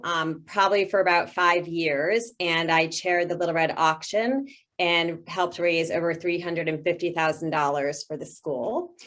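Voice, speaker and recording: A middle-aged woman with a lowish voice speaks over a video call. She introduces herself as a candidate at a parent meeting and steadily runs through her experience, with the odd "um". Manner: steady, listing achievements with fillers. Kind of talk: self-introduction at an online meeting.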